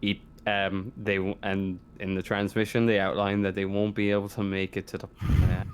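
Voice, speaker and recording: A man's voice: sits low, flat delivery, normal speed. A man casually running a tabletop roleplaying game as game master. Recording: clear background noise.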